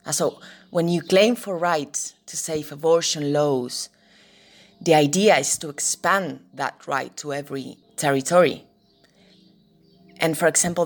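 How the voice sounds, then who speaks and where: A woman's voice: low-pitched, vocal fry. A woman with a Spanish accent, a native Spanish speaker, explaining her views reflectively in an interview. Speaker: activist interviewee.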